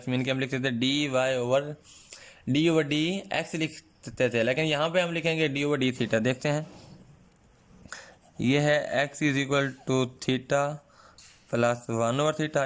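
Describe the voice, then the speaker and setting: A male math teacher, giving a patient online lecture with a sore throat, clearing it now and then. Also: mid-range voice. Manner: slow, patient, calls students "son" often.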